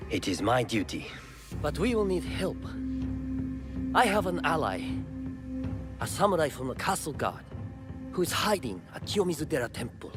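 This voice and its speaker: An actor playing a weary, ailing samurai lord in a video game, speaking in a formal, measured way. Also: male voice, mid-range voice. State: coughing, sickly. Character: weary, ailing nobleman, a deposed samurai clan head. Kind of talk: dramatic video game cutscene acting.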